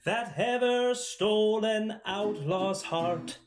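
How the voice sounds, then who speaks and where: A singer voicing a minstrel who sings a slow ballad in a radio play. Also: female voice, mid-range voice, close to an everyday voice. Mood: tender. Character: wandering minstrel singing a ballad. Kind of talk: sung ballad with guitar. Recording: voice over plucked guitar.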